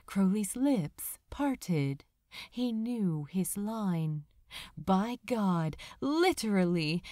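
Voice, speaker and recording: A woman reading a romantic story aloud as a narrator, with a dramatic, tender and measured delivery. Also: mid-range voice, a little mellow, no room sound, clean studio sound. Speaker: fan fiction audiobook narrator. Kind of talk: expressive scripted story narration.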